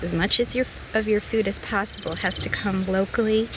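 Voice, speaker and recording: A woman's voice: mid-range voice, moderate pace, noisy background. A woman giving an explanation in an interview about her work.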